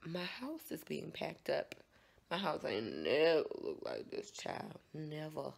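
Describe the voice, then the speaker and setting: Scratchy low American woman, gravelly. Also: huge pitch swings, takes it slowly. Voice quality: scratchy, raspy morning voice. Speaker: vlogger. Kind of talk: casual chatty vlog.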